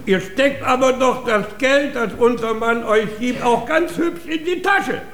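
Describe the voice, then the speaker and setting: An actor playing a worldly, money-minded music master, self-important and theatrical, in a German radio comedy. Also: male voice, very high voice, measured pace, fairly bright tone, roomy sound, exaggerated voice. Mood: teasing. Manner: pompous, theatrical.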